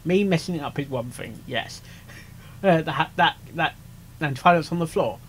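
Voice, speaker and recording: A man's voice: fairly high pitch, moderate pace, fairly bright tone, slight background noise. A man giving casual reaction commentary, stammering and repeating words in short asides. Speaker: reaction video host.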